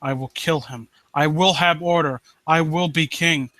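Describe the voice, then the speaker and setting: A man reading aloud as a vengeful, ambitious brother who plots to seize a throne, in a grim, deliberate manner. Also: fairly high pitch, steady tone, bright, forceful tone, no echo. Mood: grim resolve. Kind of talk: dramatic story reading.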